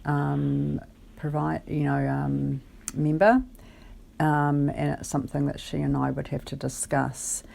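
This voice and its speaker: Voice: mid-range voice, fairly even intonation, takes it slowly, vocal fry, a little mellow. A caller on a phone conversation, explaining their case in a hesitant, careful and articulate way. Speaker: caller, benefit client.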